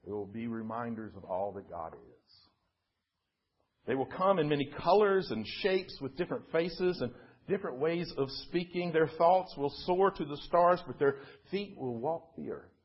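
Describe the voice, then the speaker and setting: A man voicing a wise, ancient female turtle in a storybook, speaking in a solemn, gentle, declarative way. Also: fairly high pitch, husky, highs cut off, voice pushed up.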